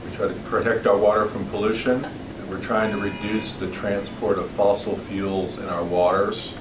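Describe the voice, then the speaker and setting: Deep-voiced man in an echoey hall. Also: steady tone, normal speed. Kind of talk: public forum testimony. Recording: echoey distant hall recording with noise.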